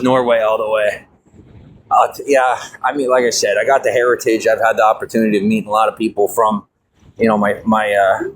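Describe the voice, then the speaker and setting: A man's voice: mid-range voice, fairly bright tone, low-quality recording. Rambling American man, faint hiss. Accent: Norwegian-tinged American. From United States. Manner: rambling, many fillers and restarts. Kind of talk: casual monologue.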